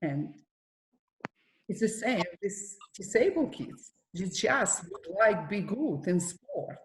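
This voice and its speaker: A woman's voice: mid-range voice, slight background noise. A middle-aged woman from Ukraine with a Ukrainian accent, a para athletics coach answering panel questions in careful, non-native English. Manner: slow, careful phrasing with non-native grammar. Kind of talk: casual panel Q&A. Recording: roomy echo.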